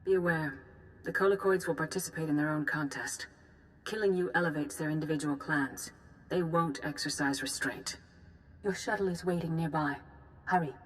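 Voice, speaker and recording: An actress playing a grave, composed female Jedi master in a video game, speaking in a formal, measured, solemn way. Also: low-pitched, a little hiss or hum, lightly acted. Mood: solemn concern. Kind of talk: scripted video game dialogue.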